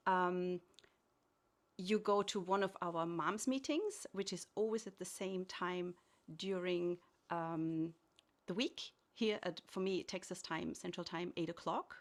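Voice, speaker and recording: Gentle woman hosting a meditation call. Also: mid-range voice. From Austria. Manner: gentle, inviting, often pausing to rephrase. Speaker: host. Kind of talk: hosting an online meditation event. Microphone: video call.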